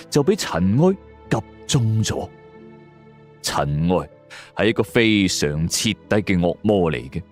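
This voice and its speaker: A man narrates a scripted crime and ghost story in a suspenseful, theatrical way. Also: on the low side. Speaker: audio drama narrator. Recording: music bed under the voice. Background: background music.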